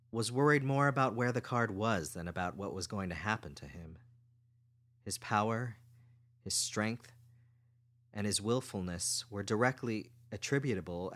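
A man's voice: lowish voice, moderate pace, fairly bright tone. A man reading fiction aloud as a scripted story narrator. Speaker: audiobook narrator.

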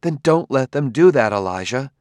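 A man's voice: medium pitch, animated, normal speed, no room sound, clean studio sound, voice pushed up, close to an everyday voice. A man voicing an earnest, wounded, idealistic woman who pleads insistently in an audiobook novel. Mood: urgent pleading. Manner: earnest, insistent, breaking into hushed speech.

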